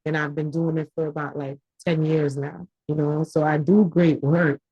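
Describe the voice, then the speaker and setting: A woman's voice: sits low, flat delivery, normal speed, mellow, slightly rough, no echo, highs cut off. A woman whose first language is Haitian Creole, a small business owner talking about her business in a live online class over a video call, candid and hesitant, with many fillers. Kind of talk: casual chat.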